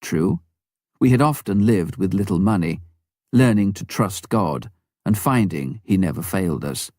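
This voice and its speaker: A man narrating a memoir from a script, reading in a measured, deliberate way with pauses. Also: low voice, animated, darkish tone, no room sound, studio quality. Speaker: audiobook narrator.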